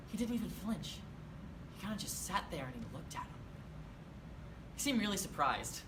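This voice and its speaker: A young man acting a monologue as a disturbed, childlike young man who talks about terrible acts in a matter-of-fact, eerily casual way. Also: on the high side. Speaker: actor performing a monologue. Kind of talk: theatrical monologue. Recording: distant mic with clear background noise.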